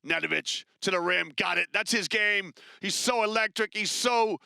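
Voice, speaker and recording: Bright, crisp male basketball commentator. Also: high-pitched, no echo, studio quality. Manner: brisk, chatty, rises with excitement on big plays. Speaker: play-by-play commentator.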